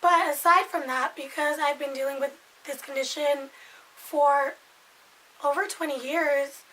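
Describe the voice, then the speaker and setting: A woman in her twenties with a hoarse, raspy voice, chatting casually to camera in a rambling way that loses its thread. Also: high-pitched, steady tone, unhurried, sharp tone, a little hiss or hum, poor audio. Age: 20s. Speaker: vlogger.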